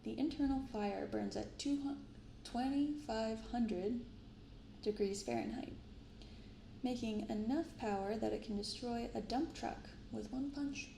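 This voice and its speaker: A soft-spoken woman hosts in a gentle ASMR style, with casual, unhurried commentary. Her voice is mellow with a creaky fry in it. The room has an audible echo around her. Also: fairly high pitch, slight background noise. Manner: gentle, unhurried reading of card text. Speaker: host of a card-opening video. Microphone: binaural microphone.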